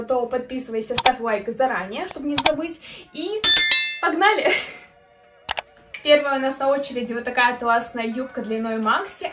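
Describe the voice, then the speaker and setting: A woman in her twenties, a fashion vlogger, chatting casually and upbeat about outfits, recorded indoors by a camera at medium distance. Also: high voice. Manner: chatty, upbeat, frequent fillers. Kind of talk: casual outfit try-on chat. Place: indoor room with clothing racks. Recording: roomy echo with faint music.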